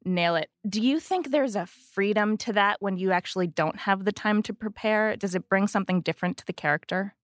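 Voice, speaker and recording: A woman's voice: medium pitch, fairly quick, no room sound, studio quality. A woman hosting an interview, asking direct, focused questions. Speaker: interviewer host.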